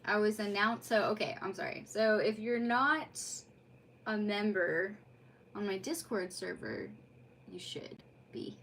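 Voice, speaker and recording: A woman's voice: mid-range voice, unhurried, fairly bright tone, a little hiss or hum. A woman chatting casually on a livestream in an effusive, rambling way, as host of a read-aloud. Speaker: streamer hosting a live read-aloud.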